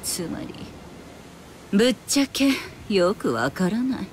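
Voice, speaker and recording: Scornful, animated rocker witch. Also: medium pitch, a little slow. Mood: cold, scornful. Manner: rude, scornful, rough-spoken. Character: brash, foul-mouthed, menacing witch-like female rock guitarist. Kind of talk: anime video game voice acting. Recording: noisy game ambience under voice.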